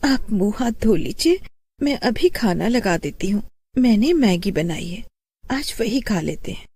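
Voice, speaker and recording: A woman voicing an anxious, caring wife who speaks politely and gently. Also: medium pitch, sing-song, a little slow, husky, no echo, voice pushed up, exaggerated voice. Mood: worried, tender. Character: anxious, caring young wife, soft and apologetic.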